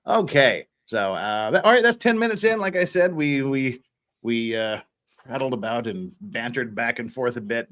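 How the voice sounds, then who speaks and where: A man's voice: mid-range voice, fairly bright tone. A man hosting a casual livestream, rambling and repeating words as he thinks aloud. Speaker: host of an online show.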